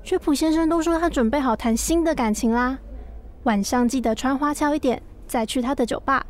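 A woman's voice: sits high, fairly quick, lightly acted. A woman voicing a friendly, chatty young shop clerk in an audio drama.

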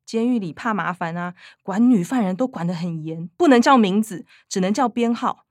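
An actress with a Taiwanese Mandarin accent voicing a matter-of-fact, bookish young daughter in a radio drama. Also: female voice, medium pitch, expressive, normal speed, no room sound, clean studio sound, lightly acted.

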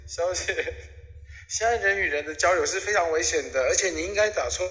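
A man's voice: sits high, brisk, sharp tone. A man playing a put-upon young tour guide in an audio drama, polite but flustered and reluctant. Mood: wary politeness. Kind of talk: amateur audio drama acting. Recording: echoey hall sound.

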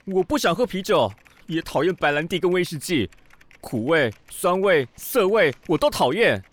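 A man's voice: a little high, big pitch swings, moderate pace. A man with a Taiwanese Mandarin accent playing a proud, foul-mouthed, easily flustered police officer who blusters, grumbles and sputters in an audio drama. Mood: smug grumbling. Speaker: voice actor.

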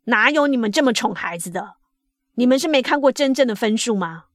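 A man's voice: high-pitched, animated, takes it slowly, sharp tone, no room sound, clean studio sound, exaggerated voice. A woman voicing a boastful, disapproving father of a top pupil in a told story.

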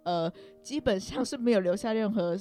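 A woman's voice: medium pitch, moderate pace, over loud music. A young woman with a Taiwanese Mandarin accent tells personal stories casually, rambling and self-deprecating, hedging as she goes. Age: young adult. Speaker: podcast guest.

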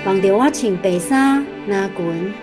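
A woman's voice: medium pitch, expressive, measured pace, over music, close to an everyday voice. A woman acting a mother with a Taiwanese accent who fondly recalls her poor country childhood in a radio drama. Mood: wistful, dreamy. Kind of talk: radio drama storytelling in dialogue.

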